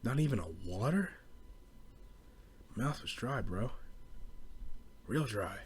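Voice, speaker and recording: A man's voice: medium pitch, animated, takes it slowly, slight background noise. A young American man rambling through a casual, profane comedic rant as a podcast host, in slangy speech. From southeastern Pennsylvania, US.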